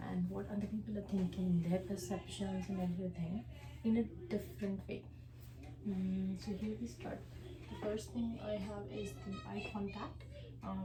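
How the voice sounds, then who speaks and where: A young woman whose first language is Hindi presents her coursework in an echoey room. Her voice sits at a middle pitch, and she speaks hesitantly, filling pauses with ums and uhs. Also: background noise. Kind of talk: class presentation.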